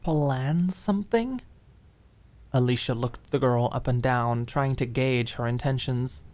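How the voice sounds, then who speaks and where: Female narrator for ASMR drama audiobook: scripted fiction, expressive and deliberate delivery. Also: a bass voice.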